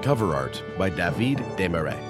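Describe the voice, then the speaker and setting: A man delivers scripted credits in a deep voice with lively inflection. He speaks as an announcer over loud music. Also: normal speed. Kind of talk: scripted podcast credits narration.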